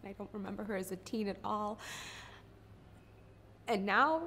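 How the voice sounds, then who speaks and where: A woman's voice: medium pitch, a little slow, pushed and bright, slight background noise. A woman performing a dramatic stage monologue as a grieving, regretful older sister, blunt and defensive. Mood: grief-stricken.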